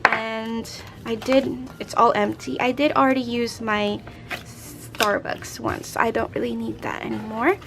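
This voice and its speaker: A young woman with a raspy, hoarse voice, chatting casually as a budgeting vlogger and counting out cash into envelopes. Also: fairly high pitch, level tone, measured pace, music in the background. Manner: chatty, rambling, counts money aloud. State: hoarse from a late night. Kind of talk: casual chat while stuffing cash envelopes.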